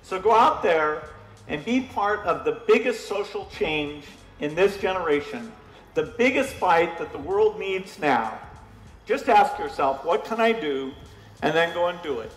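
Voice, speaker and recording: A man's voice: sits high, lively intonation, a little slow. A man giving a rousing public speech to a crowd at a rally. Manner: exhorting, declamatory. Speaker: speaker at a rally. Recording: echoey hall with long reverb, music behind.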